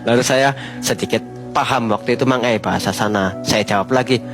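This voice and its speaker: A man, a guest telling a long personal story in an unhurried, vivid way. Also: medium pitch. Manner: unhurried, vivid storyteller who often addresses the host. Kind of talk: storytelling in a podcast chat. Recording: music playing behind.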